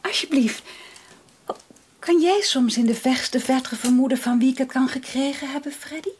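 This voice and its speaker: A woman's voice: high voice, normal speed, a little mellow, natural voice. An actress playing a refined, willful young society lady in a period radio drama, with an affected, genteel manner. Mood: wondering, enraptured. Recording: slightly noisy old radio recording.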